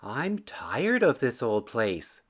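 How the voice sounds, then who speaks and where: A man's voice: mid-range voice, wildly swooping pitch, takes it slowly, a bit bright, slightly rough, exaggerated voice. A man voicing a fussy, decisive female bird, the wife in a children's storybook, speaking emphatically. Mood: fed up.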